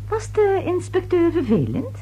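A woman's voice: very high voice, mellow, highs cut off, full character voice. A woman playing a nosy, chatty, easily indignant neighbour in a radio thriller, with theatrical prying delivery. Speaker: actress in a radio serial. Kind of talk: Dutch radio thriller acting.

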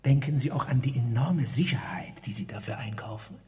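An actor playing a meek, wheedling garage attendant in a radio drama, with wordy, formal pleading that often drops toward a breathy whisper. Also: male voice, medium pitch, expressive, fairly quick, dark tone, natural voice. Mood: anxious, persuasive. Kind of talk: theatrical radio drama dialogue. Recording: reverberant hall-like space.

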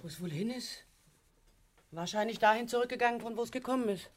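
An actress in a radio play as a middle-aged mother, soft-hearted and practical, caring yet protesting in her own defence. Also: a little high, fast, lightly acted. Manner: caring, matter-of-fact, protesting. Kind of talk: naturalistic radio play acting.

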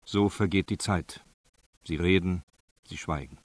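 A man's voice: sits low, fairly even intonation, measured pace, no room sound. A man narrating a radio play in a sober, reportorial manner, reading scripted prose.

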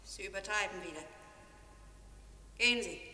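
A woman's voice: mid-range voice, normal speed, sharp tone. An actress playing a cold, detached woman in a fever dream from a 1950s German radio drama, heard through dreamlike reverb. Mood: cold, remote. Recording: echoey reverberant hall with music.

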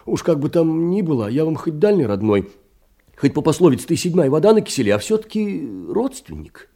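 An actor playing a meek, kind-hearted, middle-aged poor clerk in an audio play, fussy, rambling and self-deprecating, with swooping emphasis. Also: male voice, on the high side, sing-song, a little fast, mellow. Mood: flustered, wounded. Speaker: stage actor. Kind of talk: theatrical audio-play monologue of letters.

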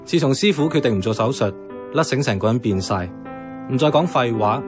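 Casting: male actor as wry, reflective Hong Kong Cantonese cafe worker, medium pitch, radio drama over prominent music. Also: animated, brisk, lightly acted. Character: wry young tea-restaurant worker narrating his fading old street. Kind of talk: radio drama narration and dialogue.

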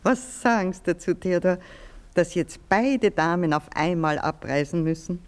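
Radio play hostess , played by a woman: genteel, gossipy, fairly low. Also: animated, moderate pace, close to an everyday voice. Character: genteel lady of the house, chatty.

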